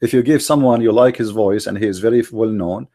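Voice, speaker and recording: A man's voice: on the low side, fairly quick, mellow. A man whose first language is Arabic, a podcast guest arguing points at length in non-native English with many fillers. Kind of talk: podcast interview.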